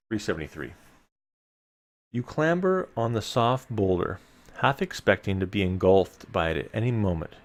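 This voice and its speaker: A man live-streaming a read-aloud of an adventure gamebook, mixing storytelling narration with casual asides to his viewers. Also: fairly low pitch.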